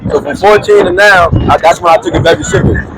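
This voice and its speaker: A man's voice: high voice, fast, very noisy. A man in his twenties, a calisthenics athlete, talking earnestly and casually about motivation in a street interview. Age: 20s. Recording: outdoor crowd chatter.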